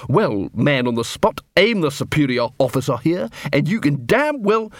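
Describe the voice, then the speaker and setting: A man's voice: on the high side, big pitch swings, normal speed, dry recording, clean studio sound. A man playing an irate, bullying watch captain in an audiobook, snarling and indignant.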